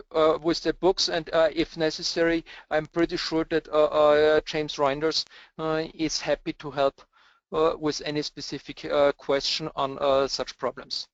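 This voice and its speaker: A man's voice: a little high, clean tone, no room sound. A man with an Austrian German accent, answering webinar questions as a presenter, hesitant with many filler sounds. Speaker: presenter, cluster architect. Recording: dull lo-fi webinar audio, dry.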